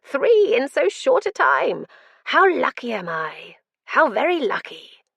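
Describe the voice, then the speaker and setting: A man's voice: high-pitched, huge pitch swings, takes it slowly, creaky, bright, forceful tone, dry recording, heavily acted. A woman voicing a mad male prisoner in his forties who is gleeful, manic and given to sudden whispers. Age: 40s.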